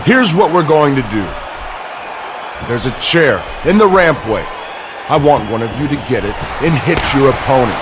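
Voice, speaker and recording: A man's voice: on the low side, background noise, close to an everyday voice. An actor playing a smug, scheming male wrestling general manager in a video game cutscene, with a condescending, pompous manner.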